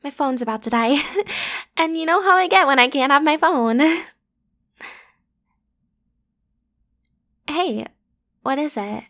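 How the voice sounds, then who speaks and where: A woman plays a popular teenage schoolgirl in an intimate ASMR roleplay. Her voice sits fairly high. She speaks in casual, youthful phrases, breezy and pushy, playfully teasing the one person she addresses. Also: animated, measured pace, a bit bright, heavily acted. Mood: friendly, teasing. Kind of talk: ASMR roleplay, one-sided conversational acting.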